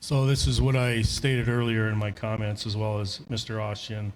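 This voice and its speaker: A man's voice: lowish voice, flat delivery, moderate pace, slight background noise, low-quality recording. An American man speaking as a town manager, giving a brief explanation at a public meeting. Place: indoor town council meeting.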